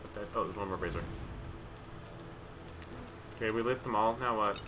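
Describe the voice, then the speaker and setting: A man's voice: moderate pace, background noise. A young man chatting casually and joking while playing a co-op game. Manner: joking, jumps into high mock voices. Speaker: gamer. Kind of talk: casual co-op gameplay chat. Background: game music.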